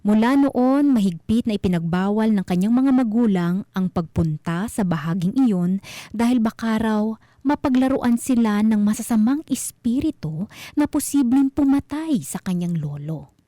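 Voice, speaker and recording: A woman with a Filipino accent narrating a scripted mystery story as host, in a measured, suspenseful manner. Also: on the high side, lively intonation, warm tone, dry recording.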